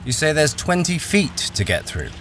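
A man's voice: on the high side, big pitch swings, normal speed, a bit bright, slight background noise. A man playing a wary, experienced miner, acting and narrating a radio crime thriller in a guarded, brooding way. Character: wary, experienced male miner, blackmailed, narrating his ordeal.

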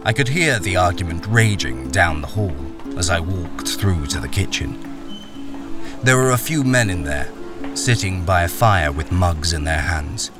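An actor playing a wary, plain-spoken miner who tells his own story in a measured radio drama narration. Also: sits low.

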